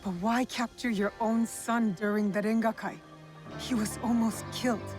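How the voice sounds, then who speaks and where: A woman's voice: fairly high pitch, fairly even intonation, normal speed, darkish tone, natural voice. A woman playing a young, defiant and determined warrior of feudal Japan in a video game, blunt and challenging. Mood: accusing. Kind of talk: cinematic video game acting. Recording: faint music behind the voice. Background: faint background music.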